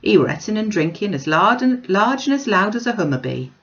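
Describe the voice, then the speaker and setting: A woman's voice: medium pitch, sing-song, brisk, a bit bright, voice pushed up. A woman reader puts on a working man from a classic storybook. He is weary and respectful, with plain dialect phrasing. The sound is slightly muffled.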